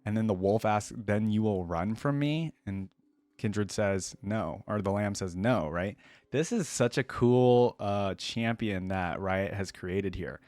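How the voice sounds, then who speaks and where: Low-voiced man reacting in a roomy space. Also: takes it slowly, a little mellow. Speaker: reaction video host. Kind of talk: casual reaction commentary and story reading. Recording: roomy echo, faint music behind.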